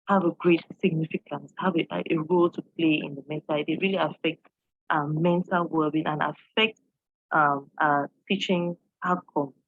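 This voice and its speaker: A woman's voice: low-pitched, no room sound, dull audio. A woman from Cameroon, an English teacher, giving an earnest online presentation and posing questions to her audience, with frequent fillers.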